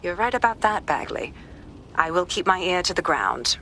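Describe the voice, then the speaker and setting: A woman's voice: lowish voice, big pitch swings, normal speed, bright, forceful tone, a little hiss or hum, exaggerated voice. A woman playing a principled, weary police investigator in a video game, grave and determined. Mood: sombre. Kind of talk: video game cutscene acting.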